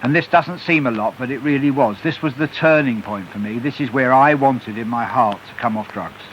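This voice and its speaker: A middle-aged man speaks as a guest in a radio interview, candid and earnest. He leans on repeated phrases for emphasis. His mid-pitched voice comes through a muffled broadcast with a light hiss. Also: moderate pace, fairly bright tone. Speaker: interview guest, author and recovered addict. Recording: muffled hissy shortwave-style radio broadcast.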